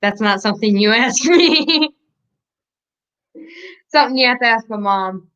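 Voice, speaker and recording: A woman's voice: on the high side, expressive, unhurried, a bit bright, clean tone. A woman chatting casually and playfully with friends in an online group conversation. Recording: muffled lo-fi voice-chat audio.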